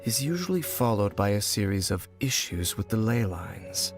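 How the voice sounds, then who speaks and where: Grave mysterious wanderer for a video game; low, solemn, measured, faint music bed. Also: male voice, big pitch swings.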